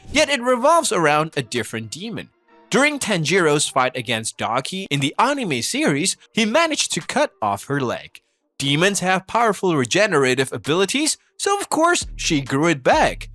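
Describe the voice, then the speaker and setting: A man delivers scripted commentary in a fairly high, forceful voice. His intonation swings widely as he leans into rhetorical questions with playful disbelief. It sounds like narration for an online video essay. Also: huge pitch swings, normal speed, no echo. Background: background music.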